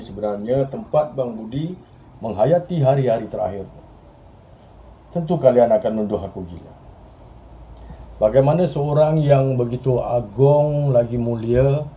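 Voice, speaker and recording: A man acting an earnest, restless journalist in a dramatic stage monologue, speaking in deliberate literary phrases with pauses. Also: mid-range voice, mellow, slight background noise, lightly acted, poor audio. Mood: confiding, troubled. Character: earnest, restless male journalist reminiscing about his admired mentor. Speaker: stage actor. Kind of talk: theatrical monologue in Malay drama.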